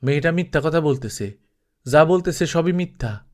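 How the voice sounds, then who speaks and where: A man's voice: on the high side, expressive, normal speed, close to an everyday voice. A man voicing an old village grandmother in a story reading, coaxing and nagging in a drawling, colloquial way.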